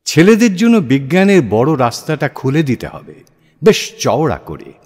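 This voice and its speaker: A man voicing a proud, idealistic engineer in an audio story, declamatory, emphatic and deliberate. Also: fairly high pitch, wildly swooping pitch, darkish tone, breathy edge, exaggerated voice. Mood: emphatic conviction. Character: proud, idealistic self-made Bengali male engineer. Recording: long hall-like reverb.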